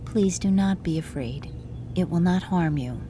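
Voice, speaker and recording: A woman's voice: on the low side, a little slow, mellow, lightly acted. A woman playing a polite alien surgeon in a science fiction audio drama, speaking in a formal, clinical manner. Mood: calm, clinical. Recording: clearly noisy audio drama track.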